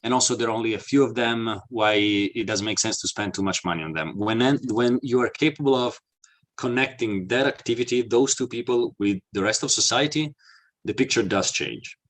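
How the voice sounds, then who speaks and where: A man's voice: medium pitch, fairly quick. A man from Italy with an Italian accent, giving an earnest, explanatory webinar talk with frequent fillers and restarts. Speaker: webinar presenter, mobility consultant.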